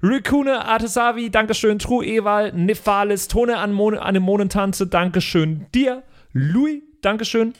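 A man's voice: high-pitched, normal speed. A man speaks as a podcast host. His delivery is casual and jokey, like improvised chat among players at a game. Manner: informal, jokey thanks and announcements.

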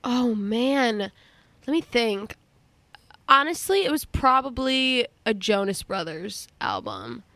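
A woman's voice: fairly high pitch, sing-song, takes it slowly, fairly bright tone, no room sound. A young woman, a singer, chatting casually in an interview, with lots of fillers, restarts and laughter.

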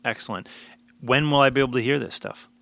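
A man's voice: mid-range voice, fast, vocal fry, sharp tone. A man interviewing a musician, asking questions and answering with short, eager affirmations.

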